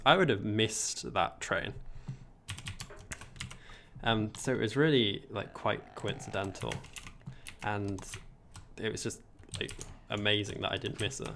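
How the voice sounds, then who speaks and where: Young English male streamer, low gravelly voice, chatty, faint background hiss and keyboard clicks. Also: fairly even intonation, moderate pace, a bit bright. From England. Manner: chatty, rambling, reads chat aloud. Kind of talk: casual livestream chat while gaming.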